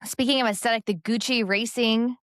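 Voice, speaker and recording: A woman's voice: fairly high pitch, steady tone, a little fast, a bit bright, dry recording. Casual woman podcast co-host, dry close sound. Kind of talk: casual chat about sports and lifestyle.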